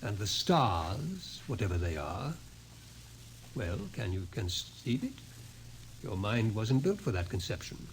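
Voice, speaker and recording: An actor playing a grave, fatalistic scientist in an old-time radio drama, explaining things ominously. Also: male voice, on the low side, sing-song, a little slow. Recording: noisy vintage radio recording.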